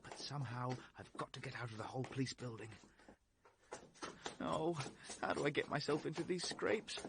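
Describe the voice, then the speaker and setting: An actor playing an anxious, self-doubting British fugitive who talks agitatedly to himself in a vintage radio drama. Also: male voice, mid-range voice, animated, normal speed, creaky. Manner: agitated, self-questioning monologue. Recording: noisy vintage radio play recording.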